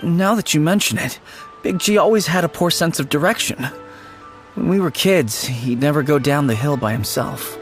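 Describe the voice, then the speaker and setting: A man playing a polite, composed character in a video game, speaking in a formal, measured manner. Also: a little high, close to an everyday voice. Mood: fond, animated. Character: polite, composed man reminiscing about a childhood friend. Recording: faint music behind the voice.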